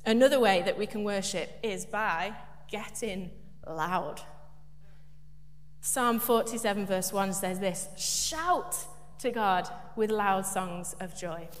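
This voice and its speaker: A woman's voice: mid-range voice, slow pace. A woman preaching a light, humorous sermon in church, chatty and self-deprecating. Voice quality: big loud voice. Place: church hall. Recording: echoey church hall, faint hum.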